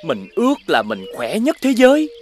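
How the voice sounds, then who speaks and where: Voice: mid-range voice, huge pitch swings, moderate pace. An actor voicing a greedy, scheming male ox in a Vietnamese children's cartoon, with sly, exaggerated delivery. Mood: scheming, flustered. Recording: music behind.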